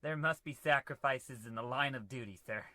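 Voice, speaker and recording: A man's voice: mid-range voice, fairly quick, pushed and bright, no echo, close to an everyday voice. A man playing a grave, dutiful spaceship officer who speaks deferentially, in a radio comedy.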